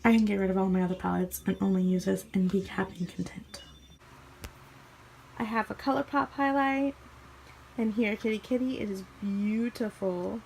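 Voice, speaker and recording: A woman's voice: medium pitch, steady tone, normal speed, vocal fry, darkish tone. A young woman from the American Midwest vlogging casually about her makeup collection, her voice a little scratchy from allergies, chatty and rambling with small restarts. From Missouri, USA. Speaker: beauty vlogger. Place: bedroom, indoors.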